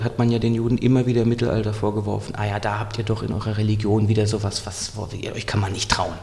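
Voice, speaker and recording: A man answering interview questions in a measured, explanatory way, often restarting his sentences. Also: medium pitch, vocal fry, darkish tone, big-room sound. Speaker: historian guest.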